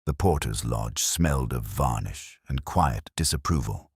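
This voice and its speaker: A man playing a brooding, world-weary, literary police detective, narrating his story in a measured, solemn, wry manner. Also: rumbling low, animated, breathy edge, dry recording, studio quality, heavily acted. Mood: brooding, reflective. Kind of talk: scripted first-person detective story narration.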